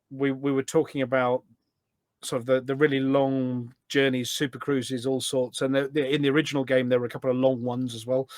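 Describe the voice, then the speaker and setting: A middle-aged man chatting casually as a podcast guest, telling stories in an animated way. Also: mid-range voice, no room sound. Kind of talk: casual anecdotal chat.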